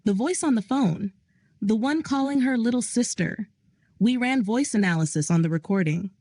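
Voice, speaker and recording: A woman's voice: a little high, lively intonation, moderate pace, dark tone, dry recording, pitched above the performer's own voice. A woman voicing a grim male aide who reports facts in a clinical, matter-of-fact way. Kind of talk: audio drama reading.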